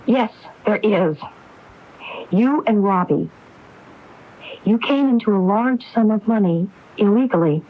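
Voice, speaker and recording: An actress playing a determined, grieving woman who questions and accuses deliberately in a 1980s radio drama. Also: mid-range voice, lively intonation. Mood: accusing, grieved. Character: determined grieving woman confronting her brother over fraud. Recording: muffled 1980s AM radio broadcast tape.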